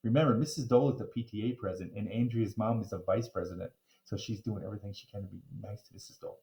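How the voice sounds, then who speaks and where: A man reading a children's story aloud in a playful, expressive way with theatrical emphasis. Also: fairly low pitch, rapid-fire. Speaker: reader of children's book. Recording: roomy, echoing room.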